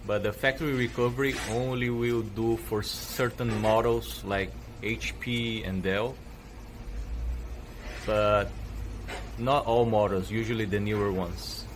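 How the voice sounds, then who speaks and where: A man's voice: on the low side, fairly even intonation, unhurried, noisy background. A man hosting a tech channel, walking viewers through a computer reset in a plain, hesitant way with fillers. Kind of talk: casual how-to tutorial.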